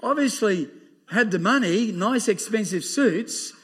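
Loud, bright-voiced Australian pastor preaching. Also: male voice, animated, measured pace, husky. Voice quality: loud booming voice. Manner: emphatic, laughing, exclaiming amen. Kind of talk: sermon. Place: church.

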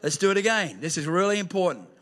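A pastor with a high voice preaches to his congregation. He tells stories with humour and punches key lines with a raised voice. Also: fast talker. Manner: lively storytelling with humour, rising to shouts. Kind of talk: sermon. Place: church hall with screen.

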